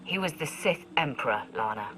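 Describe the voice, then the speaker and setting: Low-voiced, skeptical Sith warrior. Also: female voice, lively intonation, fairly quick, a bit bright, slight background noise. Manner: direct, questioning. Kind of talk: video game voice acting.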